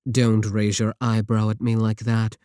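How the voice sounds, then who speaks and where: A man's voice: fairly low pitch, completely flat delivery, measured pace, warm tone, smooth, dry recording, close to an everyday voice. A man playing an arrogant, mocking villain scientist in an ASMR roleplay, talking close to the mic in a sarcastic, condescending way. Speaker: voice actor in audio roleplay.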